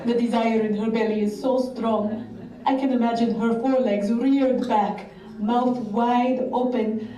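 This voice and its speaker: A woman voicing a melodramatic, lustful praying mantis in a live comic puppet show, with theatrical, drawn-out delivery. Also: on the high side, fairly even intonation, warm tone, natural voice. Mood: ravenous relish. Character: melodramatic, hungry, lustful French praying mantis, posing as male. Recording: roomy live stage, distant mic.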